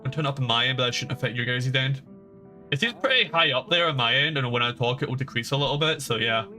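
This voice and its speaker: A man's voice: medium pitch, animated, quick pace, sharp tone. A man giving casual livestream game commentary in a rambling, informal, emphatic way. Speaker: game streamer. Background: game music.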